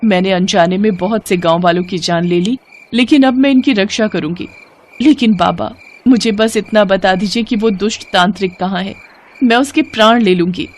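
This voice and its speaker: A woman voicing a vengeful, grieving female shape-shifting serpent with dramatic emphasis in an animated moral story. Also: mid-range voice, moderate pace, close to an everyday voice. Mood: remorseful, resolute.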